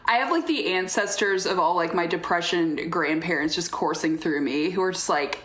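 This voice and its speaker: A woman's voice: on the low side, normal speed, fairly bright tone. A woman guesting on a podcast, chatting casually with a wry, sardonic manner. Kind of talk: casual banter.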